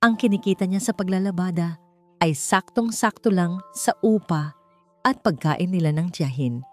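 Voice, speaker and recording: Female narrator for dramatic fiction, low and soft-toned, over faint music. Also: normal speed. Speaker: storyteller. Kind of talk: scripted dramatic story narration.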